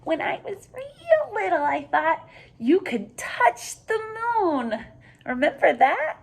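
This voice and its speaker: A woman plays an affectionate little boy in a children's storybook. The voice is high and swoops about with eagerness, wondering and questioning, at an unhurried pace. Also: very animated, gravelly, exaggerated voice. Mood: excited, reminiscing. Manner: bright-eyed, questioning, wondering. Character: eager, affectionate young boy talking to his dad.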